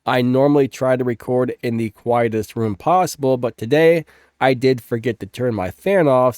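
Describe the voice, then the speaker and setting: A man's voice: medium pitch, mellow, dry recording, studio quality. A man hosting a casual tech tutorial, upbeat and chatty, with stuffed-up sinuses, recorded at home with a fan running. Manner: upbeat, chatty, says "ba-bam".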